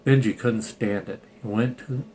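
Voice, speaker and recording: A man's voice: lowish voice, steady tone, moderate pace, darkish tone. Man reading a picture book aloud, noisy room. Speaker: storybook reader and channel host.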